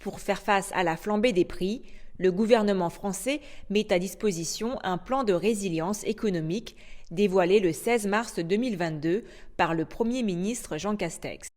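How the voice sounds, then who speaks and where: A woman anchoring a news bulletin, reading in a steady, formal way. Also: medium pitch, normal speed. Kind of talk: scripted news narration.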